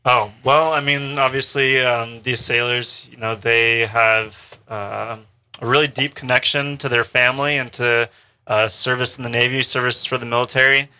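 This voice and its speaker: A male radio guest with a very bright, smooth and resonant voice at an ordinary pitch. He talks in a measured way, pausing often on fillers. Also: fairly even intonation. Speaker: panel guest. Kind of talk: radio interview.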